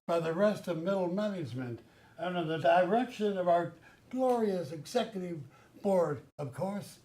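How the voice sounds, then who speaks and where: A man's voice: high-pitched, expressive, normal speed, mellow. A man acting a zealous, condescending middle manager in a satirical stage play reading, with a pompous, lecturing manner. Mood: condescending, sinister. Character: zealous, condescending male middle manager, servile toward his boss.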